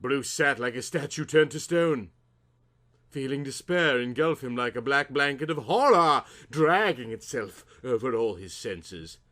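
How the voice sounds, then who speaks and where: Guy narrating a cheesy melodrama story, mock serious n dramatic, bright punchy voice. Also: medium pitch, lively intonation, measured pace. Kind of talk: melodramatic comic story reading.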